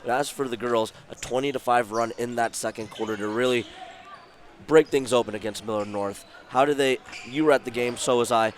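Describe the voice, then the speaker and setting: A man doing play-by-play at a basketball game, his voice sore and worn from illness, calling the action in short brisk bursts. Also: mid-range voice, fairly even intonation. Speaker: play-by-play announcer. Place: school gym courtside. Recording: loud crowd and gym noise behind.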